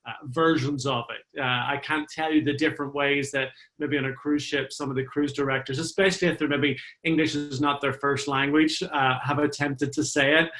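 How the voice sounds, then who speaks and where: Talkative Northern Irish man, fairly high voice. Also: steady tone, normal speed, hardly any pauses, a bit bright. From Northern Ireland. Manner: friendly, talkative, many fillers. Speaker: flute player and entertainer. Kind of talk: interview guest, conversational storytelling.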